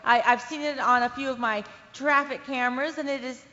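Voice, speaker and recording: A woman's voice: high voice, fairly even intonation, brisk, sharp tone. A woman playing an uneasy radio traffic reporter in an audio drama, urgent and emphatic with conversational asides. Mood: uneasy, insistent. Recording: dull lo-fi, long reverb, music underneath.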